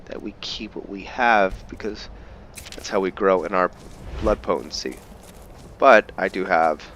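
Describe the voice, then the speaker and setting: A man's voice: low-pitched, fairly bright tone, slight background noise. A man doing casual let's play commentary, thinking aloud to his viewers and slipping into roleplay. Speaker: gaming video host.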